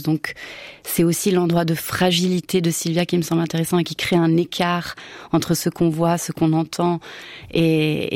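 Italian-native woman director, radio guest, lowish voice, dry studio sound. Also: darkish tone, dry recording. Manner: thoughtful, halting with restarts. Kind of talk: radio interview.

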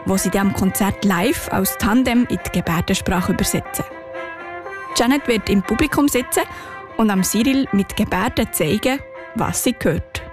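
A woman's voice: medium pitch, moderate pace, music in the background. A woman with a Swiss German accent narrating a scripted radio report.

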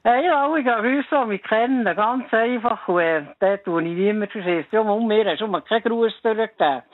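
A woman's voice: medium pitch, moderate pace, dry recording, narrow, tinny audio. A woman in her seventies with a Bernese Swiss German accent, chatting casually as a caller on a radio show, laughing often. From Bern, Switzerland. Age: 70s. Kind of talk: casual phone-in chat.

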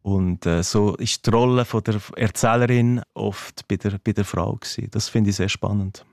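A man's voice: lowish voice, fairly even intonation, moderate pace, gravelly, darkish tone, no echo. Man, a touch, hesitant and thoughtful, dry studio sound. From Ticino, Switzerland. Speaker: teacher and interview guest. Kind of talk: radio interview, relaxed explaining.

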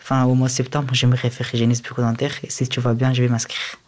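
A man's voice: medium pitch, completely flat delivery, fast talker. Young man recounting his life, non-native French. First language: a language other than French. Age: young adult. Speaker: interviewee. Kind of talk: personal testimony in an interview.

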